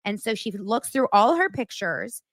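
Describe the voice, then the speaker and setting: A woman who is a podcast guest and hosts her own daily show, chatting casually and telling gossip stories in an animated way. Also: mid-range voice, moderate pace, no echo, studio quality. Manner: animated storyteller who acts out quotes.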